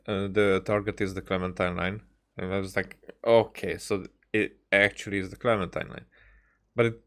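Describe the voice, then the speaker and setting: Low-voiced man casually streaming a game. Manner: halting, repeats words while reacting. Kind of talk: casual live reaction to gameplay.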